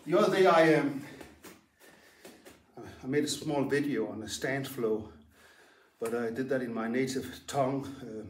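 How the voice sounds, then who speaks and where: A man's voice: medium pitch, slight background noise. Man in an echoing dojo. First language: Danish. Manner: halting and plain, with pauses as he moves between stances. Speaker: karate instructor. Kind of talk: talking through a stance demonstration. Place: his own karate dojo, large room, indoors. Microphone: camera some distance away while he moves. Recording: very echoey hall, voice far from the mic.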